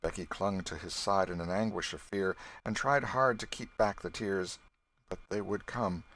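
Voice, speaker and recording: A man's voice: low-pitched, moderate pace, a bit bright. A man reading a literary story aloud as an audiobook narrator, in a scripted style.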